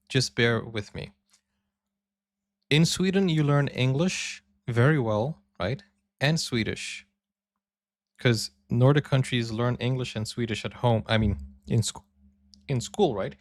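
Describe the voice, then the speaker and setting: A man's voice: medium pitch, slow, pauses often, no room sound. A man hosting a reaction video, commenting casually and thinking aloud with fillers.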